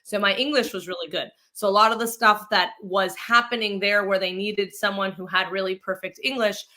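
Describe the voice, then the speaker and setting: A woman's voice: mid-range voice, fairly even intonation, fairly quick, bright, forceful tone. A woman from Mexico being interviewed as an actress and producer, telling her story in a casual, chatty, informal way. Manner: chatty, self-quoting, informal.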